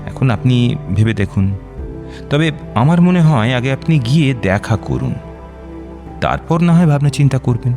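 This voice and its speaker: A man acting in a radio drama as a reassuring doctor who explains things deliberately, with measured pauses. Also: mid-range voice, big pitch swings, darkish tone, faint music behind. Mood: informative, measured. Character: measured, reassuring male doctor counselling a client.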